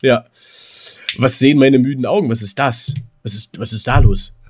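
A man's voice: medium pitch, moderate pace. A man co-hosting a casual, rambling podcast, often stammering and repeating words with a jokey, teasing manner. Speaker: podcast co-host.

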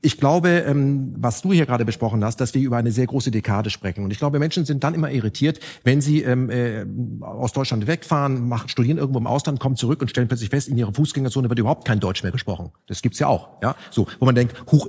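A man speaking as a talk show guest, arguing his opinions emphatically with rhetorical questions and halting self-corrections. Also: medium pitch, darkish tone, husky. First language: German. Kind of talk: opinionated panel discussion. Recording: reverberant hall.

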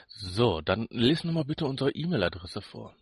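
A man's voice: medium pitch, big pitch swings, moderate pace, husky, no room sound, studio quality. A man co-hosting a podcast in casual banter, with a teasing, jokey manner. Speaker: podcast co-host.

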